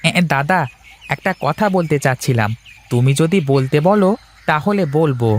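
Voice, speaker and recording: A man's voice: on the high side, measured pace, natural voice. A deferential young fellow in a cartoon tale , voiced by a man, coaxing and explaining respectfully. He keeps checking that he is understood as he makes his case. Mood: tentative. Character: deferential, persuasive male friend in town with business ideas. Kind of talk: cartoon story dubbing.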